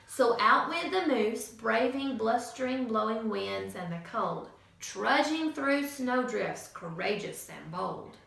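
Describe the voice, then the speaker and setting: A woman teacher reading a picture book aloud to children in an expressive, sing-song storytelling manner. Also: on the high side, slow, creaky, few pauses, a little hiss or hum. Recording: echoey room from far off.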